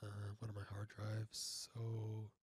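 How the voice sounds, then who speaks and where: A man informally instructing step by step in a software tutorial, halting and often checking in, on a close mic. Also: fairly low pitch, completely flat delivery, a little hiss or hum. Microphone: close studio mic through audio interface.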